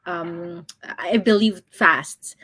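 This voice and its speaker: Bright, chatty woman in her thirties. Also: lowish voice, extremely slow, breathy edge. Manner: chatty, laughs often between thoughts. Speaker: guest, online business coach. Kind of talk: casual interview chat.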